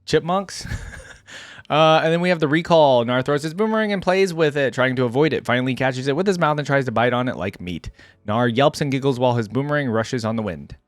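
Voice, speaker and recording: A man's voice: mid-range voice, brisk, no echo. Man giving casual, dry-sounding reaction commentary. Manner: informal, thinks aloud while reading out text. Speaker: host of a game reaction video.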